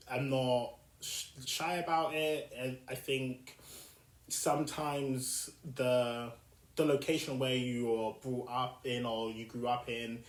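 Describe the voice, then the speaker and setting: Reflective South London man, echoey room. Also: medium pitch. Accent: South London English. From South London, England. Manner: thoughtful, with frequent fillers and restarts. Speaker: podcast host. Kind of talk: reflective solo podcast monologue.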